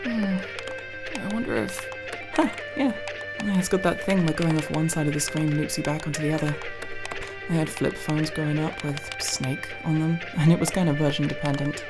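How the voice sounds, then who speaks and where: A woman playing an awkward, tired but fond tomboy friend in an intimate ASMR roleplay, speaking in a halting, hesitant, casual mumble. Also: low-pitched, over music, low-quality recording. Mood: relaxed, teasing.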